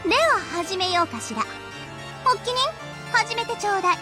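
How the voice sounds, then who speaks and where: Game villain: capricious sugary witch, extremely high, swooping delivery, playful and commanding. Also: sing-song, very fast, music in the background. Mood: bossy, playful. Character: capricious haughty young witch, sugary and bossy.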